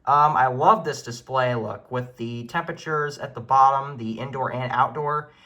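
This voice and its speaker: A man's voice: medium pitch, normal speed, bright, forceful tone, muffled recording. A man hosting a casual show-and-tell in his room at home, talking in an informal, earnest hobbyist way. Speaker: video host showing a weather radio collection.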